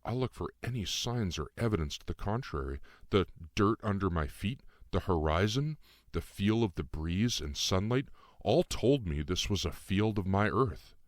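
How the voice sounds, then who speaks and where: Male author-narrator, deep register, measured literary audiobook read, very dry sound. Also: lively intonation, no echo. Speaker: author reading own novel. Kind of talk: scripted first-person audiobook narration.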